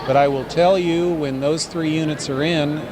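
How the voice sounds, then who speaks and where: A man's voice: on the high side, fairly even intonation, normal speed, crowd noise, poor audio. A man who directs hospital public relations, speaking in a polished, promotional way with some dry humor during an interview at a business expo. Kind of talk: casual interview. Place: busy indoor business expo.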